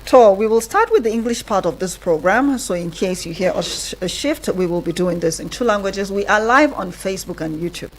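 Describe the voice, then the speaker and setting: A woman's voice: mid-range voice, animated, a little fast, hardly any pauses, a little hiss or hum. A woman with a Nigerian accent hosting a bilingual radio interview programme. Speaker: radio host.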